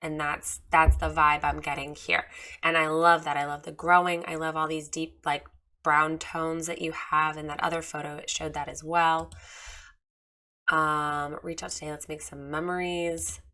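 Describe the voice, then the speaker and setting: Slightly hoarse woman giving a chatty critique. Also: deep voice, flat delivery, normal speed, bright, forceful tone. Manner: candid, chatty, many fillers. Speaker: photographer giving a website review. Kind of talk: casual screen-share critique.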